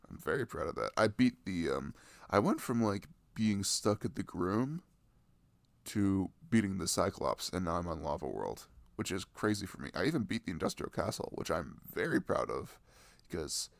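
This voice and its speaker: A young boyfriend voiced by a man talks close and tender in a cozy ASMR roleplay, his low voice moving freely up and down. There is some gravelly creak in it as he rambles, sweet and nerdy, to his partner. The sound is dry and intimate. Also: big pitch swings, moderate pace, no room sound, heavily acted. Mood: proud, chatty. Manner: tender, rambling, giggly, gushing about games.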